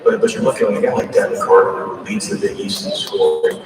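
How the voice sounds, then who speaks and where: A man's voice: lowish voice, huge pitch swings, fast. A man hosting a sports pregame radio show, interviewing and giving commentary. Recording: echoey arena PA sound, far off, crowd noise. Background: crowd in arena.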